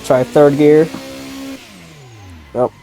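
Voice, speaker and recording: A man with a stuffy nose from a sinus infection, giving relaxed, rambling commentary while driving a racing sim. He often restarts his sentences and blurts out short exclamations. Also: a little high, extremely slow, soft background music. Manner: rambling and easygoing, with self-corrections and sudden exclamations while driving. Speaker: sim racing video creator. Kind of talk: casual gameplay commentary.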